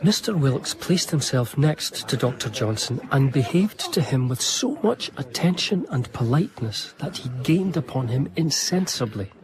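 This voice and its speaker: An actor playing an eager, ingratiating gentleman, courteous and wordy, in a period radio drama. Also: mid-range voice, very animated, moderate pace, few pauses, a crowd in the background, natural voice, rough recording. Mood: pleased, narrating. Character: eager, ingratiating Scottish gentleman, self-satisfied narrator.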